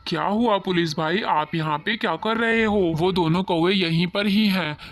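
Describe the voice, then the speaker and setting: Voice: medium pitch, normal speed, a bit bright, natural voice. A performer voicing an adult cartoon bird in a children's story, with plain, declarative delivery. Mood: urgent. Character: cartoon birds: pigeon farmer, crow swindler, uncle. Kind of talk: Hindi animated children's story dialogue.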